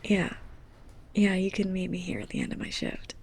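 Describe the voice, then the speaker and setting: A woman playing a sarcastic, flustered young librarian's assistant in an intimate ASMR roleplay, speaking softly and haltingly, often near a whisper. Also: medium pitch, a little hiss or hum, natural voice. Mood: flustered, hopeful. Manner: halting, awkward, self-conscious.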